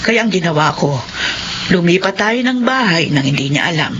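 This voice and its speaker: A woman playing a caring, earnest aunt in a Filipino radio drama, speaking in a measured, consoling way. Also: fairly low pitch, expressive, dull audio, background noise, close to an everyday voice. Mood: confessing. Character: caring, earnest aunt who raised the children.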